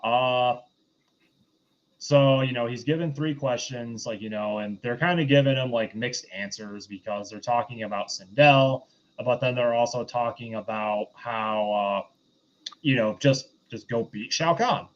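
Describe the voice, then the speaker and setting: A man hosting a review podcast, giving a casual, unscripted recap in a rambling, halting, conversational way. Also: medium pitch. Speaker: podcast host reviewing a film. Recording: dull, lo-fi voice recording.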